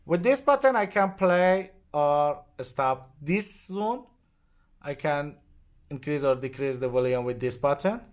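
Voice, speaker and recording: Halting man demonstrating a device, non-native English. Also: on the high side, animated. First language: not English. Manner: halting, short simple phrases. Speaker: product demonstrator. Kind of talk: hands-on product tutorial, unscripted step-by-step explanation. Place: indoors at a demo bench with devices.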